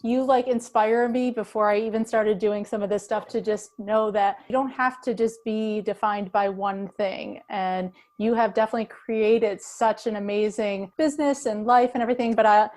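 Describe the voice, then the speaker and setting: A woman's voice: medium pitch, fairly even intonation, normal speed, hardly any pauses, a little mellow. A woman hosting a podcast interview, enthusiastic and gushing, often filling in with mm-hmms. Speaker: podcast host. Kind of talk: upbeat interview chat.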